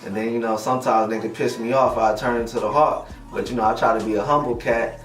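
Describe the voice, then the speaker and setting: A man's voice: medium pitch, steady tone, fast talker. A young man talking casually in an interview, slangy and laid-back, often asking "you know what I mean.". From Detroit, USA. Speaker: interviewed rapper. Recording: roomy, distant mic, music behind.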